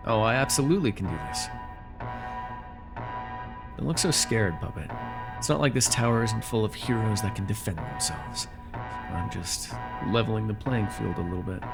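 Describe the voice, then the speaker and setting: A man's voice: mid-range voice, vocal fry. A man playing a smug, menacing male supervillain in an ASMR roleplay, taunting and commanding the listener with condescension.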